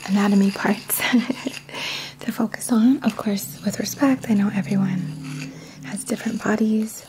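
A woman in her thirties leading a guided ASMR session in a gentle, hushed, often whispered voice with short pausing phrases. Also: medium pitch, fairly even intonation, rough recording. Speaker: ASMR Reiki practitioner and host. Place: small indoor room. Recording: clear background noise with small clicks.

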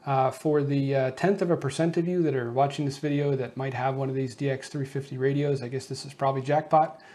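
A man with a mid-pitched voice talks through a practical project as the host of his own show. His delivery is casual and conversational, like an unscripted walkthrough for viewers. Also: steady tone, brisk, warm tone. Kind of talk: casual hands-on explainer of a homemade design.

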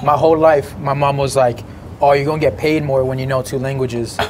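A man's voice: medium pitch, fairly even intonation, brisk, darkish tone, slight background noise. A young man co-hosting a podcast, talking candidly and chattily with casual swearing at an outdoor restaurant patio. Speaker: podcast co-host. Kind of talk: casual chat.

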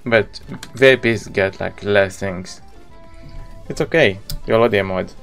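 A man's voice: on the low side, unhurried, vocal fry, fairly bright tone, soft background music. A man in his thirties with an Israeli accent, a native Hebrew speaker, chatting casually with viewers as he live-streams a video game.

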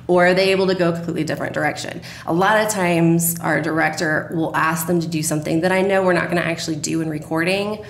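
A woman in her thirties talks as an interview guest, explaining things conversationally. Her voice is low with plenty of fry, and the room around her sounds echoey. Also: steady tone, fast, few pauses. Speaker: radio drama producer, interview guest. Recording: roomy, echoey, faint background noise.

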